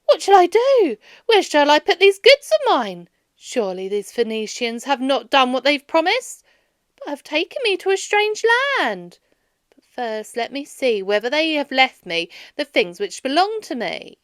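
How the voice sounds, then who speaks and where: Emphatic wandering hero played by a woman, lively pitch. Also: a little slow, dry recording, clean studio sound. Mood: distressed. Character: weary, wary wandering Greek hero, grown man.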